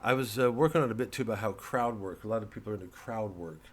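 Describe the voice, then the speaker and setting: A man who is a comedian, talking casually to his audience in a halting, digressive monologue with repeated words. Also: fairly low pitch. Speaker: comedian, host.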